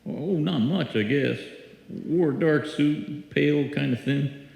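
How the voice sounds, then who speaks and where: A man's voice: a little high, slow, vocal fry, darkish tone. A man playing a folksy, apologetic small-town drugstore clerk in a live old-time radio drama, with hesitant, stammering talk. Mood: sheepish, apologetic. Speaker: amateur radio play actor. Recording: echoey hall, live stage performance.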